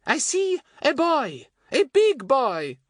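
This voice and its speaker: Man doing high, portentous French fortune-telling old woman. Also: wildly swooping pitch, no echo, studio quality, full character voice. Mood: ominous. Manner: slow, portentous, theatrical. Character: schoolboy posing as mysterious old Frenchwoman fortune-teller.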